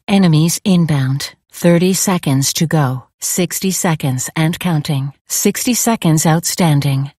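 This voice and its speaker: Performer as synthetic AI announcer, video game, impassive, clipped, formal, robotic, medium pitch, very dry sound. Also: dry recording, close to an everyday voice. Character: impassive synthetic AI announcer of a futuristic combat facility. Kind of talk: video game announcer, terse status alerts.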